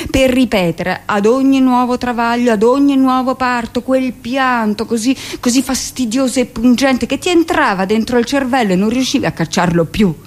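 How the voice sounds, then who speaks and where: An actress playing a hardened middle-aged midwife who confesses and justifies herself in an insistent, expressive radio monologue. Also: female voice, on the high side, natural voice.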